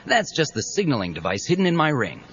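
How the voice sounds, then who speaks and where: A man's voice: fairly high pitch, big pitch swings, quick pace. A man playing a breezy, cocky young superhero in an audio drama, casual and chatty. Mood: breezy, confiding. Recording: dull cassette with faint background noise.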